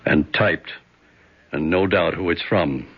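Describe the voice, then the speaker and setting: A man's voice: deep voice, takes it slowly, breathy edge, heavily acted. Stern, blustery senator father. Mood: tense, guarded. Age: middle-aged. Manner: blustery, authoritative. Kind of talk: 1970s radio drama acting. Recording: dull, band-limited vintage radio recording.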